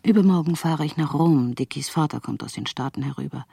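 An actor reading out a letter as a frank, sceptical writer, in a gravelly, husky voice. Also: male voice, on the high side, a little fast, warm tone, no room sound, studio quality. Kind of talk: radio drama letter reading.

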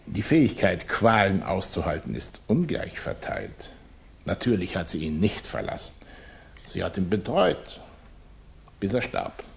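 An actor playing a suave, pompous émigré revolutionary leader in a radio play, speaking grandly and condescendingly with measured hesitations. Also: male voice, deep voice, sing-song, gravelly, heavily acted. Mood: condescending, probing. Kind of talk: German radio play acting.

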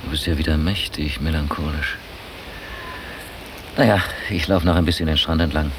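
Deep-voiced, impatient German traveller. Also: male voice, fairly even intonation, moderate pace. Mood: casual. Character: Frank, insistent, pragmatic German man travelling abroad. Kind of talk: naturalistic radio drama acting. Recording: hissy, noisy cassette copy of radio play.

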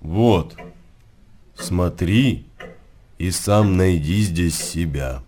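A stern, weary old abbot in a radio play. His voice is very low and dark, and he speaks slowly and gravely, weighing each phrase. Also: a little hiss or hum. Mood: commanding. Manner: grave, deliberate, pausing. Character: stern, weary monastery abbot with fatherly pity. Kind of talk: radio drama acting.

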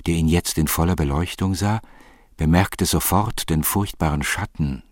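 A man with a husky voice narrating literary fiction in a measured, solemn manner. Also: sits low, no echo, studio quality.

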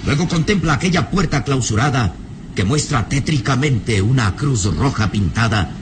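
A man's voice: mid-range voice, animated, normal speed, natural voice. Man as solemn radio serial narrator over music. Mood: suspenseful. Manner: solemn, suspenseful. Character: grave male narrator of gothic adventure. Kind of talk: dramatic scripted radio narration.

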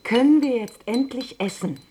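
A woman's voice: fairly high pitch, normal speed, mellow, slight background noise, lightly acted. An actress playing an exasperated, impatient mother at a family dinner in a radio play, nagging and snappy.

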